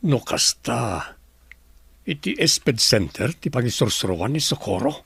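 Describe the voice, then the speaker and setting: A man's voice: mid-range voice, very animated, a little slow, creaky, fairly bright tone, husky, dry recording, heavily acted. A man playing a courteous, inquisitive doctor and master of the house in a radio drama, with an Ilocano accent. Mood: polite, inquisitive.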